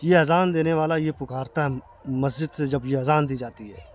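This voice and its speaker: A man with an Indian accent giving an earnest explanation to viewers, speaking haltingly and repeating himself. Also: fairly high pitch. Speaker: video creator addressing viewers. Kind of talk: explanatory talk to camera.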